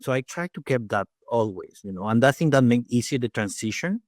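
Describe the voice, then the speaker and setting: A man in his forties from Peru with a Peruvian Spanish accent, Spanish being his first language, chatting as an interview guest, talking fast with many restarts and fillers. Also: mid-range voice, lively intonation, a little mellow, no echo, studio quality. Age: 40s. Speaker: guest dentist and faculty. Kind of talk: casual interview chat.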